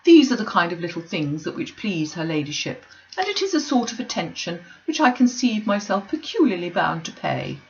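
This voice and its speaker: A woman's voice: fairly low pitch, moderate pace, hardly any pauses, muffled recording. Woman doing a pompous, fawning clergyman. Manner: pompous, long-winded, flattering.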